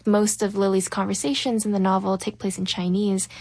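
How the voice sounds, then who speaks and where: Creaky-voiced woman novelist, dry sound. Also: mid-range voice, little pitch movement, a little fast, a little mellow, no room sound. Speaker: novelist guest. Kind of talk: interview answers.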